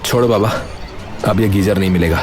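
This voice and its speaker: A man playing a crafty, excitable young villager in a cartoon story, speaking in a colloquial, exclamatory way. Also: low-pitched, brisk, natural voice. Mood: resigned. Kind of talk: animated cartoon story dialogue. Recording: clear background music under voice. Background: background music.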